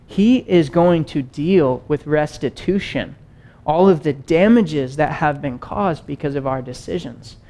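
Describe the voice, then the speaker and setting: Young man, preacher, casual teaching sermon, slightly high voice, animated stage delivery. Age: young adult. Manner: animated, conversational, gestures widely while pacing. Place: stage with wood-panel backdrop and screen.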